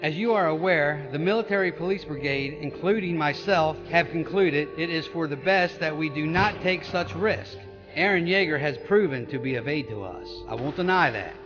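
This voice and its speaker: Actor as male prosecutor, fairly high voice, stern, argumentative, audio drama, muffled sound. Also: moderate pace, music in the background, natural voice. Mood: distrustful, insistent. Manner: formal, argumentative. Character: stern, distrustful male military police prosecutor. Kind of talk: fan audio drama acting.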